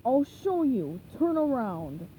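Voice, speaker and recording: Lady doing a blustery pirate guy, pompous, lively voice. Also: high voice, slow pace, narrow, tinny audio, a little hiss or hum, full character voice. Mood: blustering. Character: blustering male pirate captain.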